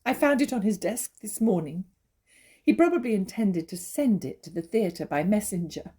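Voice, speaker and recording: An actress playing a naive, jealous young wife, pleading and accusing in stagey dramatic dialogue. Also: female voice, medium pitch, animated, moderate pace, dark tone, husky. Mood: reproachful. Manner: imploring, emotional, accusatory.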